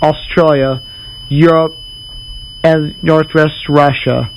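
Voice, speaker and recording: A man playing a government representative who gives a solemn, halting farewell in an emergency broadcast, repeating his phrases. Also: on the high side, little pitch movement. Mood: grave, resigned. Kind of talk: emergency broadcast announcement, amateur roleplay. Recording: noisy home recording with hum.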